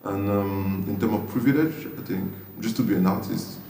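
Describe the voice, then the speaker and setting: A man with a French accent speaks in a very low, gravelly voice, slow and reflective, often restarting his phrases. His dark, mellow tone sounds a little distant from the mic. The room adds a clear echo and a faint hiss. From Guadeloupe. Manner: slow, thoughtful, restarts phrases while searching for words. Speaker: artist interviewee. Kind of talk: reflective interview answers.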